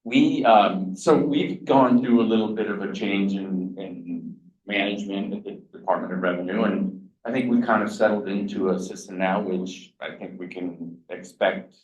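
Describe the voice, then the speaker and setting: A man's voice: sits low, moderate pace, a little mellow. A man from a state revenue department making hesitant, self-deprecating remarks at a meeting. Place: large meeting room. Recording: echoey room, distant mic, dull sound.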